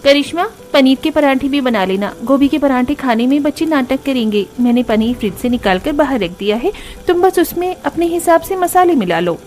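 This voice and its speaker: A woman voicing busy, dutiful young daughters-in-law in a cartoon story, talking briskly and practically. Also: high voice, expressive, a little mellow. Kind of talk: Hindi cartoon story dialogue.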